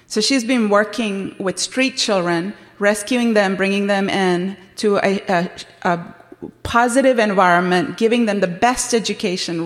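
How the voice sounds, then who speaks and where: A woman's voice: mid-range voice, long reverb tail. A woman from Sri Lanka with a Sri Lankan accent, giving an earnest appeal for a ministry at a church event, with a few hesitations.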